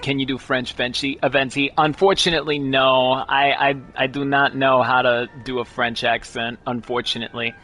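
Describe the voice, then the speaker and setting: A man streaming a video game, chatting casually and excitably, rambling with lots of fillers and restarts. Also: medium pitch, normal speed, hardly any pauses. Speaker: gaming streamer. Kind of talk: casual live commentary while gaming. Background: faint game music.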